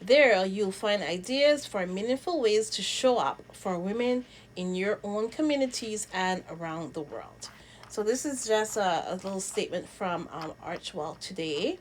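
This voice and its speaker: Woman, from Barbados, mid-pitched voice, channel host, casual news commentary, halting delivery, repeated words for emphasis. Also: animated, slight background noise, rough recording. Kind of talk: casual vlog commentary, reading statements aloud.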